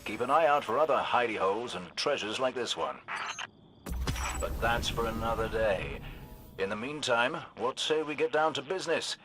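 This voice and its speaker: An actor playing a courteous, wry older militia sniper with a British accent, speaking in droll, old-fashioned phrases for a video game. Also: male voice, mid-range voice, animated, normal speed, music in the background, natural voice. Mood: calm, helpful. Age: middle-aged. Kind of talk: video game character acting.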